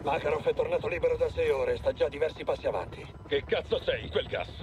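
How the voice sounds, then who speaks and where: An actor playing a blunt, informal commando on a strike team in a military game. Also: male voice, a little high, fast talker, highs cut off, background noise, lightly acted. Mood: grim, teasing. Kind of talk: cinematic military game acting.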